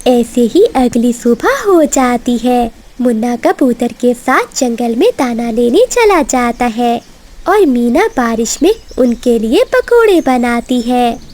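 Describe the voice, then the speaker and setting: A woman's voice: a little high, expressive, slow, darkish tone. A woman narrating a scripted cartoon moral story in storybook style.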